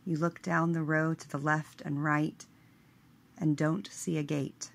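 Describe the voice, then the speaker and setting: A woman leading a scripted guided meditation in a measured, hushed manner, letting her phrase endings trail away. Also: deep voice, level tone, gravelly.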